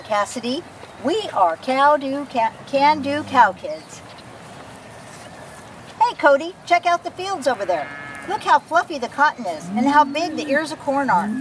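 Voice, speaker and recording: A woman reading a children's picture book aloud expressively, as if to young listeners. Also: high voice. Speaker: storybook reader. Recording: noisy recording, distant from the mic.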